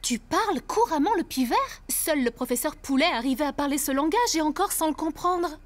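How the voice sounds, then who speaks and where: A woman voicing a gentle, affectionate mother in an animated cartoon. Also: sits high, a little fast. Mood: curious.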